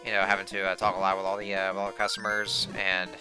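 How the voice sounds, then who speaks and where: Hoarse man, young adult, lowish voice, rambling gameplay chat, game music underneath. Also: monotone, fast. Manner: rambling, many fillers and restarts. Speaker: gameplay commentator. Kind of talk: casual let's play commentary. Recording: game music playing behind the voice.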